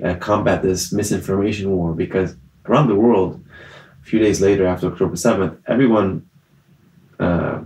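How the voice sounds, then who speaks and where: A man's voice: low-pitched, darkish tone. A young man from Israel, with Italian as a first language, giving an earnest personal testimony as an interview guest, often restarting his sentences. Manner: earnest, many fillers and restarts. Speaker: guest, festival survivor. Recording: echoey room.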